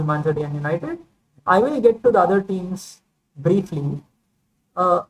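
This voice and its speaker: Rambling low-voiced woman football vlogger. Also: poor audio. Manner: rambling, hedging, many fillers and self-corrections. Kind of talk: casual football opinion monologue.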